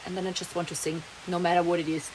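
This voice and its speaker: Chatty German woman, low voice. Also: a little mellow. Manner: rambling, chatty, laughs often, slips German words in. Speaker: vlogger. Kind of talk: casual monologue to camera. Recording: noisy background, rain heard.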